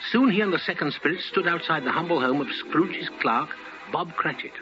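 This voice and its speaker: A man's voice: a little high, animated, fairly quick, close to an everyday voice. A man narrating a Christmas tale as a theatrical 1950s radio storyteller. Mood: vivid storytelling. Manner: theatrical, relishing lists. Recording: dull old radio recording with music.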